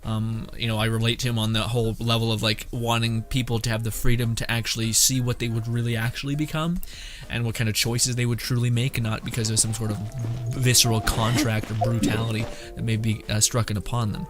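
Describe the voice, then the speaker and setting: A man streams a video game in a mid-range voice. He rambles casually, thinking aloud, with music clearly audible behind him. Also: mid-range voice, little pitch movement, a little fast.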